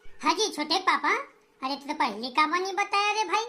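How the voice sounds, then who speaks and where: An extremely high, childlike-pitched village wife and mother voiced by a woman in a comedy cartoon. She nags briskly and repeats her orders in a Chhattisgarhi accent, on a noisy, clicky recording. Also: expressive. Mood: scolding. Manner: brisk, nagging, repeats commands.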